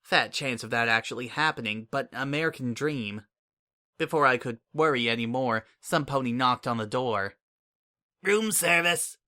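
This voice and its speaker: A man giving a steady, expressive dramatic reading as the first-person narrator of a story. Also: mid-range voice, moderate pace. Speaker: reader. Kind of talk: dramatic audiobook reading of fan fiction.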